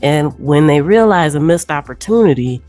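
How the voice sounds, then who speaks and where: A woman hosting a podcast, relaxed and reflective, asking long questions and laughing along with her guest. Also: low voice, normal speed, no echo, soft background music. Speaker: podcast host. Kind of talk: casual interview conversation.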